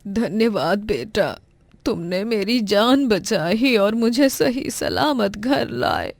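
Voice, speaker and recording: A woman's voice: medium pitch, expressive, slow pace, gravelly, no room sound, exaggerated voice. A woman voicing a kindly, grateful old village mother in a cartoon moral story, with an affectionate manner.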